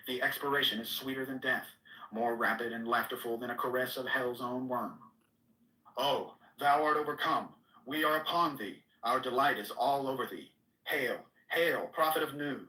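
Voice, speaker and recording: A man's voice: medium pitch, sharp tone. A man giving a solemn, declamatory reading of archaic mystical scripture. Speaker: reader. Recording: echoey room, distant mic.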